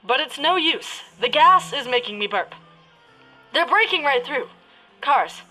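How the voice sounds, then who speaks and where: A woman playing an excitable radio reporter in a theatrical parody of an old radio drama, describing an alien landing. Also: high-pitched, measured pace, heavily acted, rough recording. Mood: earnest, playful. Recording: music under the voice.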